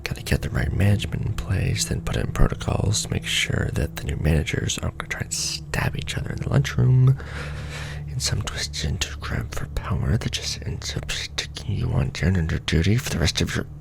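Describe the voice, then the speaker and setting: Low, croaky, jaded office boss played by a man. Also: moderate pace, whispered, full character voice. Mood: weary, businesslike. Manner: dry, deadpan, world-weary, hushed asides. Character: jaded, sardonic male Illuminati manager briefing a new recruit. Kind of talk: ASMR roleplay.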